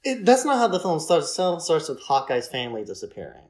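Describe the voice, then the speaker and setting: A man's voice: fairly high pitch, wildly swooping pitch, quick pace, a little mellow. Man casually explaining on a podcast. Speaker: podcast host. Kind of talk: casual film discussion.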